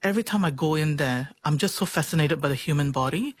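A woman's voice: low-pitched, fast, husky, dry recording. Singaporean woman with a close, dry sound. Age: 40s. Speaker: interview guest, doctor. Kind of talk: reflective personal interview.

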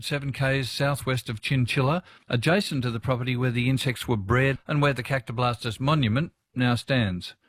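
An Australian man presents as a radio host, telling stories and putting questions to guests. His medium-pitched voice sits in a very dry recording. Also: fairly bright tone, dry recording.

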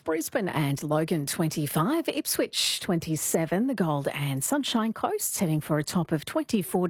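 A woman's voice: on the low side, no room sound, clean studio sound. Australian woman reading radio news. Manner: crisp, measured reading. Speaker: newsreader.

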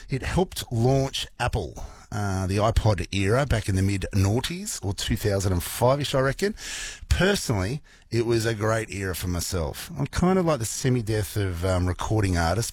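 Croaky Australian radio host, husky. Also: male voice, fairly low pitch, lively intonation, moderate pace, dry recording. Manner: chatty, playful, rambling asides. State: croaky morning voice. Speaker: radio presenter. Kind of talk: casual breakfast radio chat. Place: radio studio.

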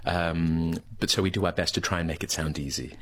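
A man's voice: low-pitched, fairly even intonation, brisk, fairly bright tone. A man, an opera tenor, chatting as a guest on a radio interview, full of ums and restarts. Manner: hesitant, filler-heavy speech.

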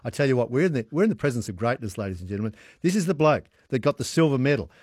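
A man's voice: mid-range voice, big pitch swings, racing, darkish tone, husky, dry recording, studio quality. An Australian man hosting a radio quiz, chatting and joking with callers on air. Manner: jokey, teasing, laughs often. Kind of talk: casual on-air chat with callers.

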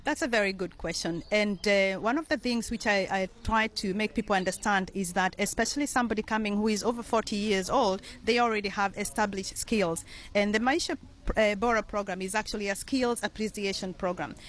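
Middle-aged Kenyan woman, community leader guest, earnest and measured, pauses often. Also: mid-range voice. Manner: earnest, measured, with frequent ums and uhs. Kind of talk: radio interview.

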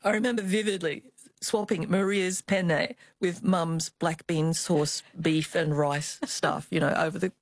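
An older woman with an Australian accent, telling personal memories as an interview guest in a thoughtful, expressive way. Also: lowish voice, unhurried, dry recording. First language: Cantonese. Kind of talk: reflective personal storytelling.

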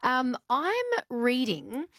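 A woman co-hosting a radio show, chatting in a lively way and reading out listener texts, with lots of fillers. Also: high-pitched, drawn-out words, no room sound, clean studio sound. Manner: lively, animated storytelling with many fillers. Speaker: radio co-host. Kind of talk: casual radio chat.

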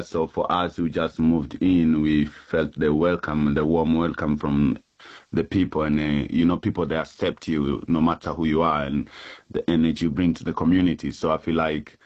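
A man's voice: rumbling low, highs cut off. A man from Tanzania, a musician interviewed on radio, talking in a hesitant, friendly way. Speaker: musician, guest.

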